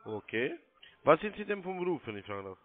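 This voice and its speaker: A man's voice: fairly high pitch, sing-song, fast. Insistent man on a muffled phone line. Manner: insistent, repeats himself. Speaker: call centre sales agent. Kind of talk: phone sales instructions. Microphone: telephone call.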